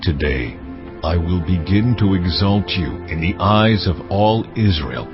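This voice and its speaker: An actor playing a solemn, majestic God in a dramatized audio Bible. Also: male voice, sits low, animated, a little slow. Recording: slightly dull audio over clear music.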